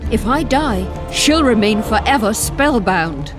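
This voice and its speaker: An actress playing a proud, imprisoned sorceress who pleads urgently for release while keeping a haughty edge. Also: female voice, fairly high pitch, expressive, unhurried. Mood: urgent, pleading. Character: proud imprisoned sorceress, middle-aged woman. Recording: game audio with orchestral music.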